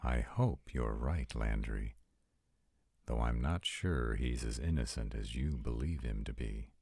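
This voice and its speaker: An extremely low-voiced vampire lord, acted by a man, commanding and formal. The voice is full of gravel and husk, and the delivery is unhurried, with measured phrasing suited to a dramatic audio roleplay. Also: a little mellow, no room sound, studio quality, heavily acted. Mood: measured, guarded. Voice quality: very deep, gravelly, husky. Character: commanding, arrogant male vampire nobles in tense parley.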